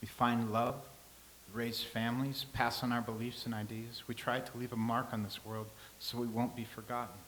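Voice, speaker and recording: A man's voice: medium pitch, level tone, normal speed, a bit bright, close to an everyday voice, rough recording. Man reading an ancient scribe: earnest, solemn, philosophical; medium voice, light hiss. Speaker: actor in a staged reading. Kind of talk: staged play reading.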